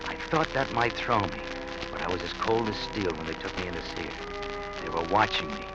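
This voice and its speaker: Low-voiced guilty husband, old radio thriller. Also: male voice, lively intonation. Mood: tense, cold. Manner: stammering, halting when questioned. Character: nervous, guilty husband hiding that he killed his wife. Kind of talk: 1940s radio thriller acting, first-person narration and dialogue. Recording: dull vintage radio recording with music.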